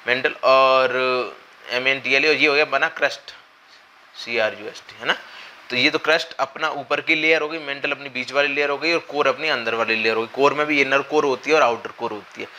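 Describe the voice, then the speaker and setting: Young male teacher, slightly hoarse, bright tense tone, faint room hiss, lapel mic in a classroom. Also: mid-range voice. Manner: explanatory, with frequent check-ins and hesitations. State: hoarse from a cold. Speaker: exam coaching teacher. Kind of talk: whiteboard lecture. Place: classroom at a whiteboard, indoors.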